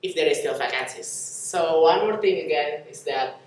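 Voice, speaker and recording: A man's voice: fairly high pitch, moderate pace. A young man giving a student talk on course registration in a classroom, speaking steadily and addressing the audience as you guys. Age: young adult. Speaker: student guide. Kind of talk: presentation explaining course registration. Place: classroom in front of a screen. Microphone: far camera. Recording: echoey classroom from far off.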